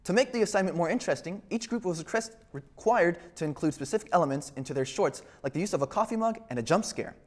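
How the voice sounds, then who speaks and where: A teenage boy anchoring a school news show with a scripted, measured delivery. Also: high-pitched, expressive. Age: teens.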